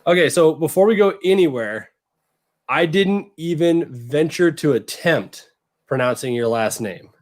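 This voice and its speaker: A man hosting a casual online talk show, relaxed and joking, with plenty of fillers. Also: on the high side, unhurried. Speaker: podcast host. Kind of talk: casual chat.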